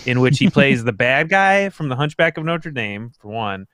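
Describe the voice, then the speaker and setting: A man, around his thirties, talking casually as a podcast panelist in a halting, rambling way. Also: medium pitch, sing-song, a bit bright, clean tone, no room sound. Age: millennial, around 30s. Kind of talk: casual group chat.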